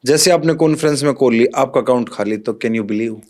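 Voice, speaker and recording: A man's voice: medium pitch, a little fast, darkish tone. A man with a mid-range voice answers as an expert police guest. He talks in an instructive, conversational way, walking the listener through examples one step at a time. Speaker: police officer, interview guest. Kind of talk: explanatory interview talk with examples.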